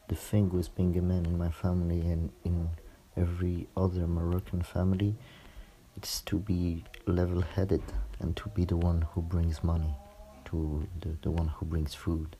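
A man from France speaking deliberately, searching for words as he reflects. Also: deep voice, warm tone, slight background noise, poor audio. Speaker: guest. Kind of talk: prepared personal reflection.

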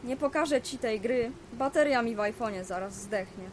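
A woman's voice: fairly high pitch, moderate pace, a little hiss or hum, close to an everyday voice. A woman voicing a precocious young boy in an audio drama, pompous, bookish and pedantic. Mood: uneasy. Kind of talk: Polish audio drama dialogue.

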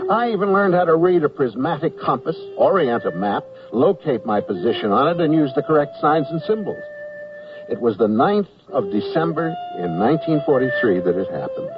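A man with an American accent playing an earnest army private who wryly narrates his wartime memoir in a radio comedy. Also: on the high side. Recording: muffled 1979 radio broadcast, music behind.

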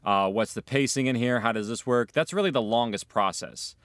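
A man's voice: medium pitch, normal speed, a bit bright, no room sound. A man explaining how an audio drama is produced, in a documentary interview style.

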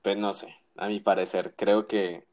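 A man's voice: lowish voice, level tone, measured pace, a little mellow. A man co-hosting a casual wrestling chat, giving opinions with frequent restarts and tag questions. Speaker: wrestling podcast co-host.

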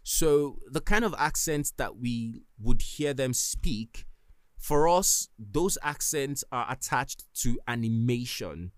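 Nigerian-accented man, podcast host, emphatic advice, mid-pitched, dry close recording. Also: animated, takes it slowly, clean tone, no echo. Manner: earnest, advising, emphatic. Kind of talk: solo podcast talk.

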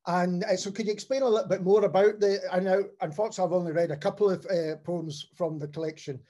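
A man's voice: sits high, steady tone, fast, warm tone. A man interviewing a poet, asking questions in a hesitant, searching way. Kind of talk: interview conversation.

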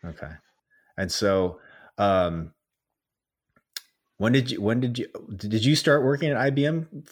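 A man's voice: mid-range voice, unhurried, long pauses, breathy edge. A young man interviewing a colleague in a casual, rambling way, full of fillers and restarts.

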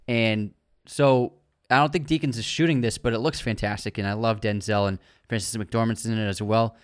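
A man co-hosting a movie news podcast, chatting in a relaxed, easygoing way and agreeing readily. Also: on the low side, moderate pace, smooth, dry recording, studio quality. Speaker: podcast co-host. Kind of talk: casual chat about movie news.

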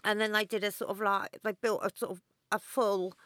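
A woman guest, a DJ and model, talking in a laid-back, giggly way with many pauses and fillers. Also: mid-range voice, little pitch movement, a bit bright, dry recording, clean studio sound. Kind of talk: casual chat interview.

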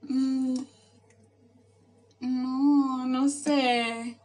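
A woman's voice: high-pitched, level tone, a little mellow. A young woman with a Colombian accent in a casual, playful chat, often breaking into giggles. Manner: giggly, playful, slow-spoken. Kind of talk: casual chat game with a friend.

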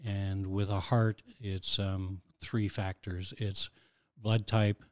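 A man in his sixties, a guest on a podcast, calmly explaining things with frequent ums. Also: low voice, no room sound. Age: 60s. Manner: explanatory, measured, with many ums. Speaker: heart transplant recipient guest. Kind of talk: casual interview talk.

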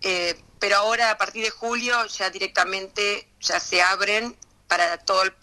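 A woman with an Argentine Spanish accent answers questions in an easy, chatty way. Her voice is very bright and bright-edged. She often hesitates, trailing off and starting again. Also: medium pitch, steady tone, normal speed. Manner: hesitant, many fillers and restarts. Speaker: interview guest. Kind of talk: casual conversational interview.

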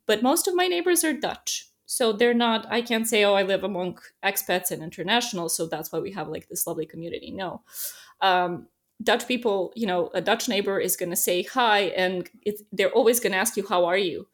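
Woman, Serbian accent, casual podcast co-host, animated anecdotes. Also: medium pitch, brisk. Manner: animated storyteller, laughs often, acts out quotes. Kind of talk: casual conversational chat. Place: lives in a small Dutch town.

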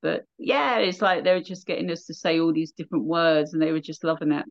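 A woman's voice: low-pitched, fairly even intonation, brisk, muffled recording. A woman with a southern English accent, a radio presenter chatting casually in an interview, with lots of fillers. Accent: English, southern England. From England. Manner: chatty, many fillers, laughs readily.

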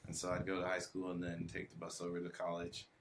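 A man's voice: low voice, monotone, quick pace, slight background noise. A young man from the US South, a podcast guest, telling stories in a laid-back way with lots of fillers. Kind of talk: casual chat.

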